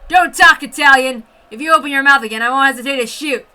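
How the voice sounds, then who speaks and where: A woman's voice: high-pitched, quick pace, bright, forceful tone. A woman voicing a brutal, greedy military officer in a menacing, accusatory manner for a story reading. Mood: accusing, menacing.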